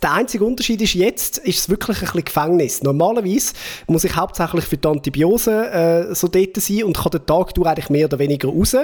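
Swiss German podcast co-host, 40s, slightly high voice, joking, meandering. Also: male voice, expressive, fast talker, a little mellow. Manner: joking, rambling storyteller. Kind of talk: casual chat.

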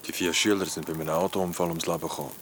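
A man acting an excitable, slangy, easily spooked young man in an audio drama, with music behind him. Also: low voice, rapid-fire, very noisy, lightly acted. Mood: gripped.

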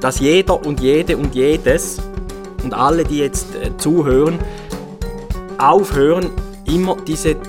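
Insistent higher-voiced man over guitar. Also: slow, long pauses. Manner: insistent, argumentative. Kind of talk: animated debate. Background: plucked guitar music.

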